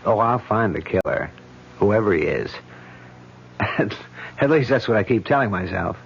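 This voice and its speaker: Radio-play detective: a breezy, cocky cop, dull old recording. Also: male voice, lowish voice, lively intonation, measured pace, gravelly, noisy background. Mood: fond. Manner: jokey, self-assured. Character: cocky male police detective. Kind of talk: 1970s radio drama acting.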